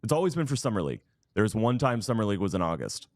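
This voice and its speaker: A man's voice: lowish voice, big pitch swings, racing, darkish tone, no room sound, studio quality. A man in his thirties chatting casually as a sports podcast panelist, often repeating words in a stop-start way. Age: 30s. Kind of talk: casual chat.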